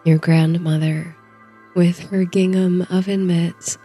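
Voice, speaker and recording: A woman narrating a guided sleep story in a soothing, hushed, unhurried way. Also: lowish voice.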